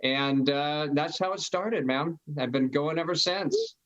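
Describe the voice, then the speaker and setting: Jokey comedian guest, slightly high. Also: male voice, unhurried, a bit bright, lo-fi sound. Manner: jokey, chatty storyteller. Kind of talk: casual interview chat.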